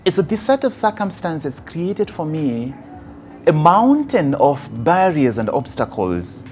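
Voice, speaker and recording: Kenyan man speaking deliberately over music. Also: fairly high pitch, sing-song, a little mellow. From rural Kisii, Kenya. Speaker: motivational speaker. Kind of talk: motivational personal testimony.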